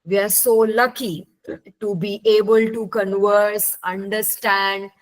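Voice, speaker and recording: Slow-spoken, gracious female moderator on a webcam call, mid pitch. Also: fairly even intonation, poor audio. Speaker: session moderator. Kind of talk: video call thank-you remarks. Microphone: webcam on video call.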